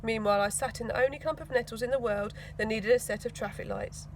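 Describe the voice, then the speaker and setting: A woman's voice: fairly high pitch, fairly even intonation, quick pace, slight background noise. A woman reading a children's storybook aloud expressively, with dramatic emphasis. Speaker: school teaching assistant reading a children's book.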